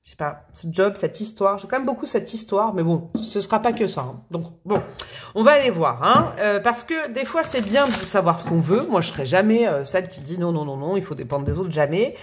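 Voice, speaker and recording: A chatty woman talks straight to her viewers during a tarot reading. Her delivery is lively and expressive, with playful stresses and the odd laugh. Her pitch sits mid-range. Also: fairly quick, slight background noise. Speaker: tarot reader. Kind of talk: casual tarot reading to camera.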